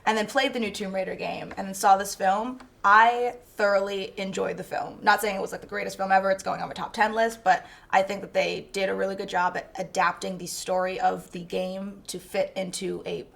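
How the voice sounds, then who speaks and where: A woman gives her opinions in a casual film review discussion. Her voice sits at a middle pitch with a strong creak, and her delivery is informal. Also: fairly even intonation, fairly quick, few pauses, fairly bright tone.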